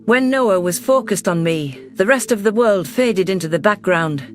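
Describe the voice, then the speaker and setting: Man voicing a high, gentle female alien leader. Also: animated, normal speed, soft background music. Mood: tender. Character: caring, composed female alien leader with paws. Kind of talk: first-person sci-fi story narration and dialogue.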